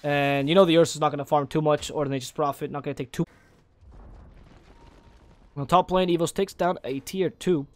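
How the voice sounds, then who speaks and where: A man calls an esports match play by play in a fairly high register. His voice is naturally low, but he keeps it lifted for the cast. He gets excitable and breaks into high shouts when the big plays land. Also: brisk, pauses often, no room sound. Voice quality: naturally low voice kept higher for casting. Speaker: esports caster.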